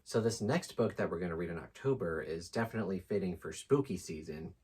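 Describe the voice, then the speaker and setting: A man's voice: low voice, a little fast, darkish tone, a little hiss or hum. A man co-hosting a book channel in casual, unscripted chat, easygoing and joking with frequent asides.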